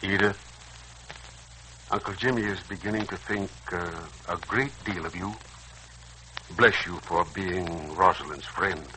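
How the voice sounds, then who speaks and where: An actor playing a kindly, halting old uncle in a 1940s radio serial. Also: male voice, low-pitched, fairly even intonation, bright, forceful tone, a little hiss or hum, poor audio. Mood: tender.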